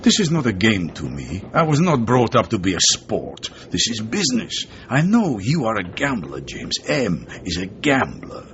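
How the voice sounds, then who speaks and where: A worldly, philosophical spy ally in a radio drama, with a low voice that swings widely and lands points emphatically. The sound is muffled and clearly noisy. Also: male voice, huge pitch swings, a bit bright. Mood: shrewd, urging. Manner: expansive, deliberate, emphatic.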